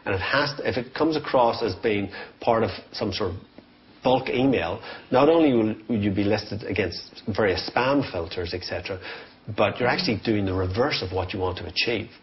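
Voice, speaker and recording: A man's voice: fairly low pitch, normal speed, dull audio, slight background noise. A man giving informal business coaching as a sales trainer, explaining points emphatically with short, direct instructions.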